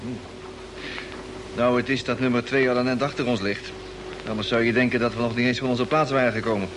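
A man's voice: fairly even intonation, a little fast, natural voice. An actor playing a bored, doubtful expedition crewman in an old Dutch radio play, grumbling colloquially and hesitantly. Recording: noisy old radio recording, vehicle rumble.

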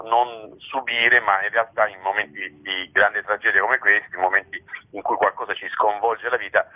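A man giving reflective, explanatory answers in a spontaneous interview, often checking in with a short tag question. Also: on the low side. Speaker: playwright and theatre director being interviewed. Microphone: telephone. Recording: muffled narrow-band telephone line.

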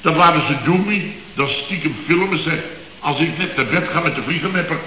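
A man's voice: a little high, brisk, sharp tone, a little hiss or hum. An actor playing an indignant middle-aged company boss in a radio comedy, sarcastic and sputtering as he repeats himself. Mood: exasperated. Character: blustering, indignant middle-aged Dutch building contractor boss. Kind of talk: 1970s radio comedy acting. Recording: dull, echoey old radio recording.

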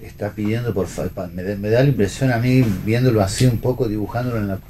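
Man, lowish voice, tentative, repetitive, questioning tags, faint background noise. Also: quick pace, warm tone. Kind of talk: unscripted discussion.

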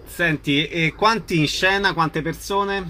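A man's voice: fairly high pitch, measured pace, over a noisy crowd. A man hosting a live radio interview in a casual way.

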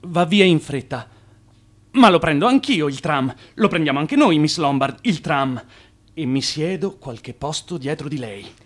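An actor playing an ardent, smooth-talking immigrant suitor in his thirties in an Italian radio drama, with a persistent, theatrical wooing manner. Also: fairly high pitch, lively intonation, unhurried. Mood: smitten, musing.

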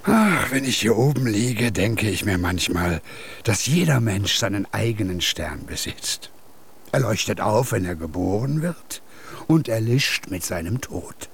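An actor playing a whimsical, kindly junk collector in a German radio play, musing and animated with a raspy voice. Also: male voice, fairly low pitch, a little slow, heavily acted. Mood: wistful, musing.

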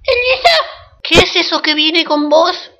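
A stern Argentine mother-in-law for a radio comedy. Her voice is very high and piercing, haughty and full of scorn. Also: animated, measured pace, bright, forceful tone, heavily acted. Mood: scornful. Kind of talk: comic radio serial acting.

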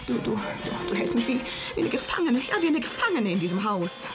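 An actress playing a desperate, trapped wife, pleading and stammering in melodramatic radio-play style. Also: female voice, fairly high pitch, sing-song, quick pace. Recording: loud music behind the voice.

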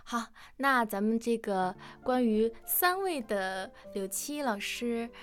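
A woman's voice: fairly high pitch, animated, takes it slowly, soft background music. A woman hosting a playful live-stream question-and-answer session. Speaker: host of a live Q&A with voice actors.

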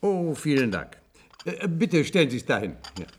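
A man's voice: fairly high pitch, very animated, moderate pace, noisy background. A man playing an uneasy professor in a radio science-fiction drama, polite but insistent, with clipped questions. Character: uneasy male professor, indignant guest who turns captive.

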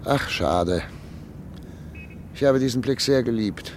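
An actor playing a 39-year-old professor and chief physician in a period radio drama, speaking in a wistful, reflective, quietly anxious way. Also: male voice, medium pitch, animated, slow, a little hiss or hum. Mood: wistful reflection. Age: 30s. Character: 39-year-old doctor, proud yet uneasy.

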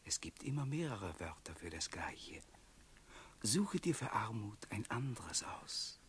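An actor playing a calm, enigmatic wandering companion in a German radio play, speaking in a measured, cryptic, hushed way. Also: male voice, on the low side, slight background noise. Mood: gentle, cryptic.

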